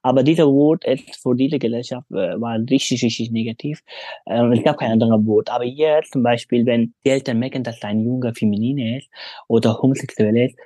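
Husky young man. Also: mid-range voice, fairly even intonation, a little fast, warm tone, no echo. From Afghanistan. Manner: hesitant, many fillers, non-native grammar. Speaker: interview guest. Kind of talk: casual interview answers.

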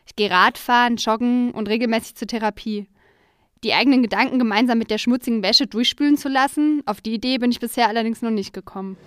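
A woman's voice: fairly high pitch, fast talker, clear, resonant tone, no room sound. Woman reporter, dry close voice. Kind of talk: reportage narration.

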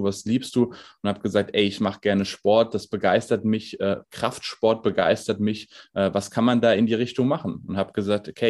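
A man in his twenties, a strength and conditioning coach, explaining his work at ease in a podcast interview, with plenty of fillers. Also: lowish voice. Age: 20s. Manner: relaxed, explanatory, with many fillers.

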